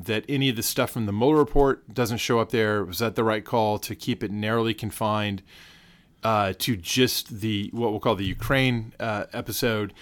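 A man co-hosting a legal podcast, wry and joking, then explaining things in a steady, measured way. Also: fairly low pitch. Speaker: podcast co-host. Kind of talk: casual legal podcast chat.

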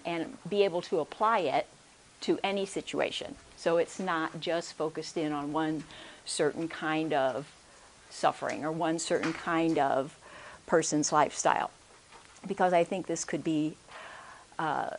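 A soft-spoken woman giving a conference workshop talk into a microphone, hesitant, with many restarts and check-ins with the audience. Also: low-pitched, a little slow. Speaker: workshop speaker. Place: conference room. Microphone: handheld or stand microphone.

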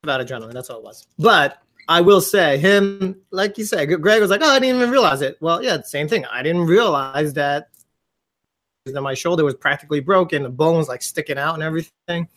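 A man with a somewhat high voice trades jokes and anecdotes. He talks in an animated, wisecracking way, with a sarcastic edge. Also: a little fast. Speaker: podcast co-host. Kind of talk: casual comedic chat.

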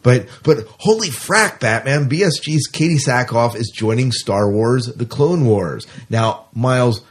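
A man's voice: mid-range voice, lively intonation, unhurried, breathy edge. A middle-aged man hosting a podcast, chatting informally and reading out entertainment news with a fan's enthusiasm. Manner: informal, enthusiastic fan talk. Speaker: podcast host.

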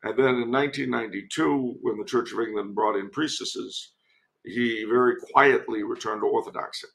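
A man with a gravelly, creaky voice talks as a podcast guest. He offers historical commentary in a conversational way, wandering into digressions and dry asides at a medium pitch. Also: steady tone, moderate pace, fairly bright tone, poor audio.

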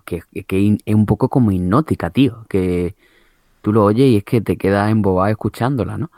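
A man's voice: lowish voice, brisk, mellow, dry recording, studio quality. A man from chatting casually, rambling with many fillers and restarts. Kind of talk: casual chat.

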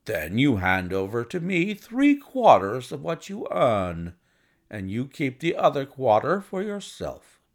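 A male reader acts out a blunt, wheedling gentleman with money to invest, in storybook style. The delivery is emphatic and slowly stretched, with a gravelly creak and big swings in pitch. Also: wildly swooping pitch, heavily acted. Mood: bustling, persuasive. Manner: brisk, abrupt, emphatic, drawn-out stressed words. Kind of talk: audiobook character voice.

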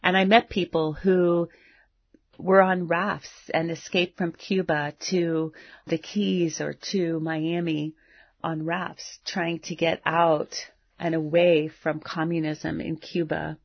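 A woman's voice: on the low side, level tone, slow. An American woman in her fifties from South Florida, hosting a podcast as a personal monologue in an earnest, emphatic way. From South Florida, United States. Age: 50s. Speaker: podcast host. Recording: dull, roomy, echoey sound.

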